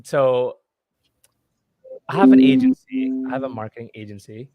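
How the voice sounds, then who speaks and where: A man's voice: mid-range voice, huge pitch swings, moderate pace, narrow, tinny audio. A man in his twenties from Indonesia, English his second language, chatting casually as a podcast guest with many fillers and restarts. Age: 20s. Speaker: guest, marketing agency owner.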